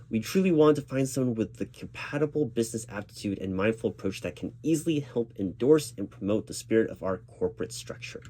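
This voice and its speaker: A man's voice: fairly low pitch, dark tone. A man performing a one-sided spoken ASMR roleplay as a recruiting manager, reassuring and patient, with formal corporate phrasing.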